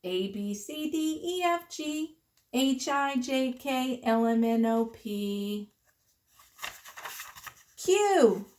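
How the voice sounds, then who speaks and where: A woman preschool teacher reading the alphabet aloud to children in simple, deliberate, sing-song phrases with questions. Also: sits high, animated, darkish tone.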